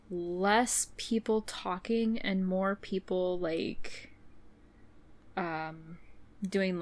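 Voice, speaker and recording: A woman co-hosting a podcast in casual chat, sniffly with a runny nose, rambling through plenty of fillers. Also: mid-range voice. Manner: chatty, rambling with many fillers. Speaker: podcast co-host.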